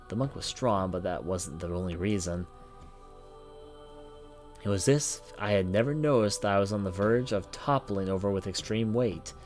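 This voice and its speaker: A man reading visual novel narration aloud for a let's play, dramatic and sometimes stumbling over words. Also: on the low side. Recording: background noise behind voice.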